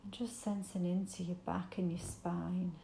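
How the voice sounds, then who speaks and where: A woman with a mellow, creaky voice guides a meditation gently and soothingly. Her delivery stays very even and invitational, with unhurried pauses between phrases. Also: on the low side, roomy sound, slight background noise. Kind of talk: guided body scan meditation.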